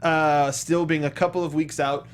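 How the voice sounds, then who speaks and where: A man's voice: on the high side, little pitch movement, normal speed, clean tone, soft background music. A man hosting a casual panel chat about video games, giving eager opinions with hesitant restarts. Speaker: show host.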